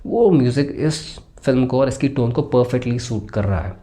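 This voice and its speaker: A man with a mellow, relaxed voice and an Indian accent talks through a film review. He explains his points conversationally as the host, slipping into English phrases along the way. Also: medium pitch, moderate pace.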